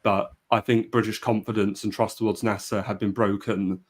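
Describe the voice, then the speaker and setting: A man's voice: on the low side, level tone, moderate pace. A man hosting a live history discussion, speaking with frequent hesitations and restarts. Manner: hesitant, many fillers and restarts. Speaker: podcast host. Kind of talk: casual live-stream discussion.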